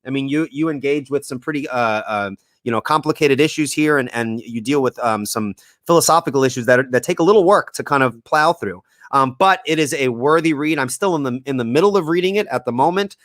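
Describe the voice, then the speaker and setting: A man's voice: medium pitch, brisk, a bit bright, no room sound, clean studio sound. A man from Puerto Rico hosting a podcast interview, chatty and joking, with many ums and restarts. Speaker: podcast host. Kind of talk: casual interview chat.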